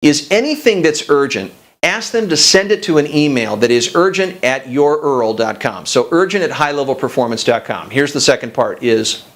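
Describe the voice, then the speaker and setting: A man's voice: mid-range voice, animated, moderate pace, fairly bright tone. A man hosting a business coaching show, giving direct, instructional advice straight to the viewer.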